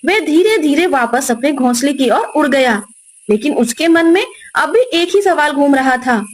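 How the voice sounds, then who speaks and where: A woman's voice: sits high, expressive, moderate pace. A woman narrator reading a scripted children's moral story.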